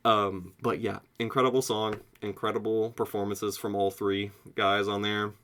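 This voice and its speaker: A young American man giving a casual, excitable album review, slangy and sweary, saying "like" constantly. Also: lowish voice. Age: young adult. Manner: excitable, slangy, heavy use of "like", frequent swearing. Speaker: music reviewer.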